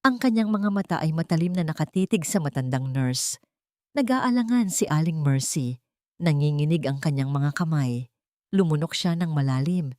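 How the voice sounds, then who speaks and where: A woman's voice: sits low, lively intonation, normal speed, dark tone, no echo, clean studio sound. A woman narrating a scripted dramatic story as a storyteller.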